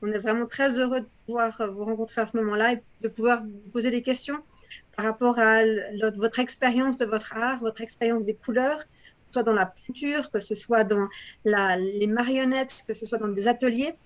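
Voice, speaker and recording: A woman with a fairly high voice conducts an interview in a conversational style. Her manner is welcoming and appreciative towards her guest. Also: fairly even intonation, moderate pace. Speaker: interviewer, co-host.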